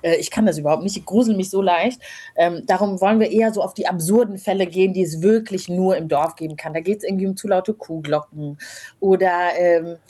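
A woman's voice: fairly low pitch, a little fast, dark tone, breathy edge. A woman in her thirties telling personal stories in an informal, reflective, chatty way as an interview guest. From born in Rwanda, grew up from age ten in small-town Germany. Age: 30s. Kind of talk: casual conversational storytelling.

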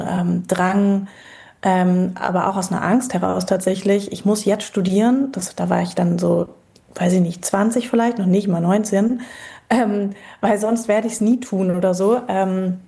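A woman in her thirties from Berlin, a guest talking in a relaxed, reflective way with many pauses and fillers. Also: mid-range voice, gravelly. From Berlin, Germany. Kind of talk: casual interview chat.

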